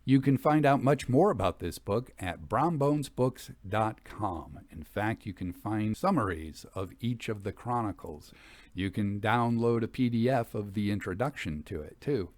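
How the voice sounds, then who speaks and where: A man hosting and reading for a literary podcast, speaking articulately with measured phrasing and expressive emphasis. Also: lowish voice, no room sound. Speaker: host and reader of a ghost story podcast. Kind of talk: literary reading and informal scripted commentary.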